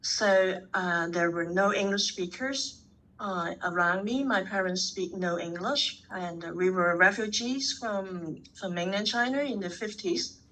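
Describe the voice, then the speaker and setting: Emphatic bright woman in her sixties. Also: medium pitch, a little slow, low-quality recording. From Hong Kong. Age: 60s. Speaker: professor. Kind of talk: academic seminar discussion.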